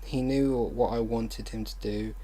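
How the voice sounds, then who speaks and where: A man hosting an online video, reading fan fiction aloud haltingly with exasperated, disbelieving commentary. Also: medium pitch, fairly even intonation, warm tone, a little hiss or hum, rough recording.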